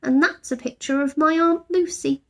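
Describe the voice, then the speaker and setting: A woman's voice: high-pitched, fairly even intonation, moderate pace, a bit bright, pitched above the performer's own voice. A woman voicing a small, polite young male bear in a children's storybook, earnest, modest and slightly hesitant.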